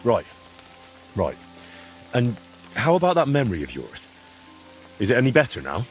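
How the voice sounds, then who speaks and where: An actor playing a kindly, patient young man in a medieval video game, gentle and slightly hesitant as he coaxes. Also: mid-range voice, wildly swooping pitch, normal speed, faint music behind. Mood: gentle, coaxing. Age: young adult. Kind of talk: dramatic video game dialogue.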